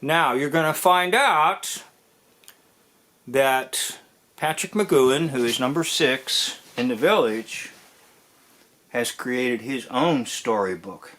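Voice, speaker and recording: A man giving informal commentary on a TV episode as host, in a deliberate, drawn-out way that dwells on key phrases. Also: mid-range voice, lively intonation, a bit bright.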